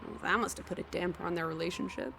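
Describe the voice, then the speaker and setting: A woman's voice: fairly low pitch, little pitch movement, fast talker, creaky, noisy background. An actress playing a sociable, cheeky young woman of the 1920s, theatrical and teasing, in a period drama video game. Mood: sympathetic. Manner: playful, teasing, old-fashioned slang.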